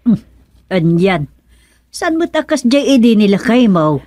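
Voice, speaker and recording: A woman's voice: medium pitch, big pitch swings, a little slow, warm tone, no echo. A woman acting in a Filipino radio serial as a devout, patient but vexed older aunt, with a Filipino accent and a sighing, admonishing manner. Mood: advising.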